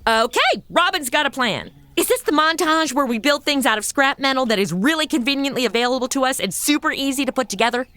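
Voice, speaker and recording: A woman's voice: high voice, animated, fast, hardly any pauses, a bit bright, no echo. A woman playing a snarky modern wisecracker in a comedy audio drama, speaking in casual, sarcastic asides. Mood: wry, chatty.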